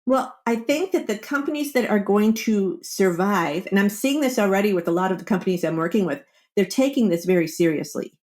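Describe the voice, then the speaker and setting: A woman's voice: mid-range voice, expressive, brisk, few pauses, darkish tone. A woman speaking as a wellbeing coach in an interview, explaining her advice conversationally and voicing little example quotes. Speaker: wellbeing coach, podcast guest.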